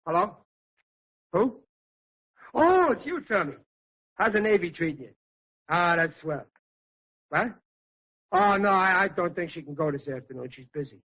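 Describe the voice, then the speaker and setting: An actor playing a blustery, good-natured working-class father in a 1940s radio sitcom, with a husky voice and folksy, emphatic, ungrammatical talk. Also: sits high, big pitch swings, moderate pace, long pauses, exaggerated voice. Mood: chatty. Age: middle-aged. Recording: muffled vintage radio recording.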